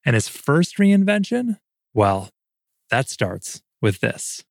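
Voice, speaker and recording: A young adult man hosting a podcast, reading a scripted introduction and asking interview questions in an earnest, steady way. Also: medium pitch, slow pace, vocal fry, no room sound, studio quality. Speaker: podcast host.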